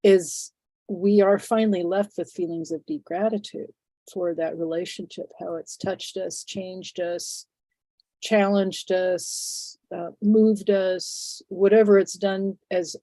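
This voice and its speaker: Woman, 60s, interview guest, congested from a cold, low pitch, halting and reflective. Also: steady tone, dark tone, no echo. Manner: thoughtful, halting, many fillers and restarts. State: congested, phlegmy from a head cold.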